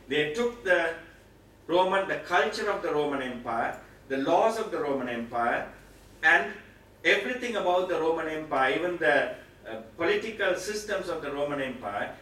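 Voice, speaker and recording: A man's voice: on the high side, lively intonation, normal speed, sharp tone. Echoey, distant Sri Lankan man teaching. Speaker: preacher giving a Bible teaching session. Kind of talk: explanatory teaching lecture. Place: meeting room with seated audience, indoors. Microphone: far camera, speaker at a mic stand. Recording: very echoey room, distant pickup, noisy.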